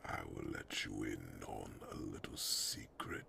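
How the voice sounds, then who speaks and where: A man voicing a wise, grave, fatherly old dragon in a slow, deep, gravelly half-whisper for an ASMR fantasy roleplay. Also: pushed and bright. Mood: gentle, wise. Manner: slow, deliberate, murmuring pauses.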